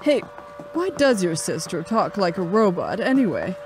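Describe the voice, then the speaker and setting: A woman playing a brisk, sceptical, no-nonsense adventuress in a pulp sci-fi audio drama. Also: on the low side, very animated, noisy background. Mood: exasperated. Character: brisk, sceptical adventuress Vale, telling her own space tale.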